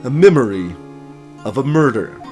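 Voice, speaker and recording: A man voicing a haunted, solemn game character confessing a dark memory, grave, halting and dramatic. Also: mid-range voice, big pitch swings, soft background music, lightly acted. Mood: haunted, hesitant. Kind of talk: dramatic voiced reading of game dialogue.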